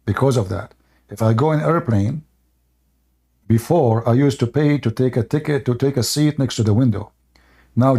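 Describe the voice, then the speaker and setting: A man's voice: mid-range voice, dark tone. A man from the Middle East with an Arabic accent, hosting an online channel and arguing with a caller in a heated live debate, lecturing insistently and repeating his points.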